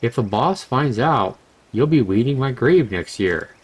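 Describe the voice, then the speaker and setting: A man's voice: mid-range voice, animated, unhurried, husky. A man voicing a brash, money-hungry young adventurer from a visual novel, with slangy, cajoling banter. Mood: indignant, boastful. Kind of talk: visual novel character reading.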